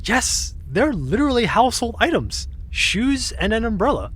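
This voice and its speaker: A young woman in an audio drama, with a slightly husky voice and animated intonation. She is easygoing and a little sheepish, explaining odd spirit lore as if it were plain fact, with a teasing edge. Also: a little high, moderate pace. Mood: matter-of-fact, teasing.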